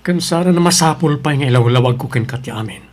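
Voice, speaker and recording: A man's voice: mid-range voice, quick pace, natural voice. A man with an Ilocano accent playing a stubborn son and cold husband in an audio drama. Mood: firm, cold. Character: stubborn adult son, cold husband leaving his pregnant wife.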